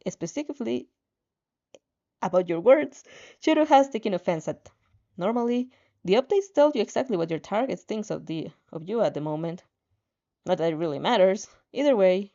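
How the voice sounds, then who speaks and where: Female story narrator, lively intonation, soft warm tone, casual asides, mildly lo-fi sound. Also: medium pitch. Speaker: fan fiction narrator. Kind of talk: expressive story reading with casual reactions.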